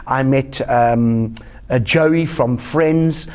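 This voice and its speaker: A middle-aged South African man, a comedian and interview guest, telling animated anecdotes with sudden jumps in pitch. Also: drawn-out words, clear, resonant tone. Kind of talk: casual interview storytelling.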